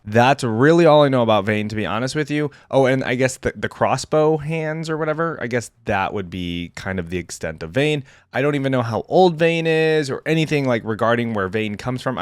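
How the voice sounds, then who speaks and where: A man hosting a reaction video, reading aloud and adding informal commentary as he thinks out loud. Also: medium pitch, no echo, studio quality. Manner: informal, thinks aloud between read passages. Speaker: host of a game lore reaction channel.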